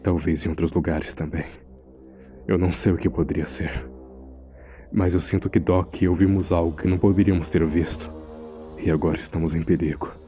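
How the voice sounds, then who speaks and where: Very deep-voiced man acting out uneasy horror narration. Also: steady tone, brisk, mellow, faint music behind, lightly acted. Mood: grave, uneasy. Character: uneasy male morgue assistant recounting a frightening secret. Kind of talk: scripted first-person horror narration.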